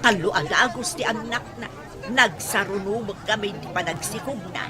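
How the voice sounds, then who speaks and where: A woman's voice: mid-range voice, normal speed, a crowd in the background, lightly acted. A woman acting a gossipy, concerned older village mother-in-law in an Ilocano radio comedy drama, with an Ilocano accent.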